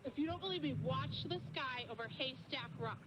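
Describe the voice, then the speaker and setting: A smug, chatty beachcomber phones in to a radio show to brag, played by a woman. Her voice sits high, and a steady background noise hangs under the line. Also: normal speed, natural voice. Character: boastful young woman beachcomber calling in. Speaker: caller.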